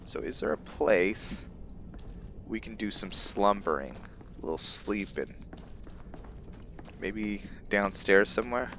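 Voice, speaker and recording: A man giving casual game commentary with roleplay lore, thinking aloud in a halting way. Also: on the low side, expressive, fairly bright tone, slight background noise. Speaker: gamer hosting a roleplay let's play.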